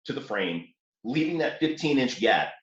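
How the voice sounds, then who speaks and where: A man's voice: medium pitch, normal speed, muffled recording. Southern man on an echoey call. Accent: light Southern. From New Orleans. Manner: explains step by step, relaxed, with fillers. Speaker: guest, Jeep builder. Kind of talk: casual technical chat. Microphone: remote call.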